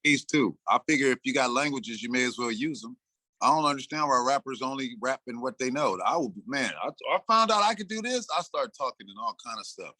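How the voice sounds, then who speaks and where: A man in his sixties, an interview guest, telling stories in a loose, animated way and acting out his own lines. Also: mid-range voice, brisk, no echo. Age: 60s. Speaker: interview guest, rapper and producer. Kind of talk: casual interview talk.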